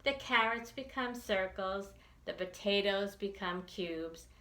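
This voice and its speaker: A woman reading a picture book aloud for young children, expressively and with deliberate enunciation. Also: medium pitch, bright, forceful tone, echoey room. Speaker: children's librarian storytime reader.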